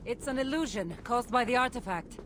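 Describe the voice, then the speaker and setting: An actress playing a world-weary, self-assured ancient warrior with a measured, earnest delivery in a video game. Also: female voice, a little high, lively intonation, close to an everyday voice. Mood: somber. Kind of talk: video game dramatic dialogue. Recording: clear environmental game noise behind voice. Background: game ambience.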